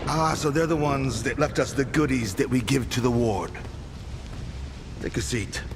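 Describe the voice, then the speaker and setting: A man's voice: medium pitch, moderate pace, gravelly, background noise, close to an everyday voice. A man acting a charismatic, teasing rebel leader in a video game, with a sardonic, theatrical and familiar manner. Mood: dismissive, knowing. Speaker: video game character in cutscenes. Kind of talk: dramatic video game dialogue. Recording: loud music behind dialogue.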